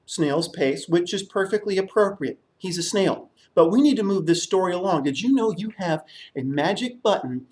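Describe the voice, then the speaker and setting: A man's voice: high-pitched, animated, moderate pace, hardly any pauses, darkish tone, slight background noise. A man from the United States telling a story to young children as a puppet show host.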